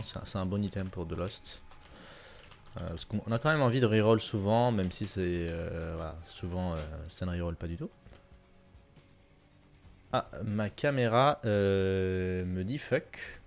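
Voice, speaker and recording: Gamer guy, lowish voice, easygoing play-along commentary at his desk mic. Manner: relaxed, rambling, many fillers and repeats. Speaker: gaming YouTuber. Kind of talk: casual gameplay commentary. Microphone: desk mic at a gaming setup.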